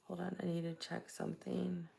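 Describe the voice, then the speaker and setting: A soft-spoken woman from Tampa, Florida, chatting casually with viewers while hosting a live stream into a plugged-in microphone. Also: fairly low pitch, completely flat delivery, moderate pace, creaky, breathy edge. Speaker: livestream host.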